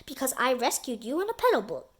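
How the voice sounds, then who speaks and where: A boy storyteller from a children's book, played by a woman, pitched high. He is matter-of-fact, slightly bossy and teasing toward his friend. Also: very animated, quick pace.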